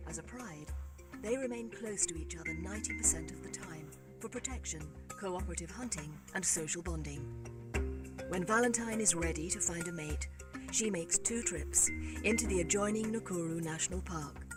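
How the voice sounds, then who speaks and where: Low-voiced woman narrating over loud music. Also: normal speed. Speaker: video narrator. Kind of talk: scripted documentary narration. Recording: distant playback under loud music.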